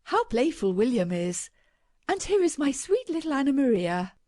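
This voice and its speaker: A woman's voice: on the high side, very animated, a little slow, warm tone, no echo, pitched above the performer's own voice. A woman reading a story, voicing a fond, doting mother who coos over her children. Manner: fond, cooing. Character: doting indulgent mother, a lady.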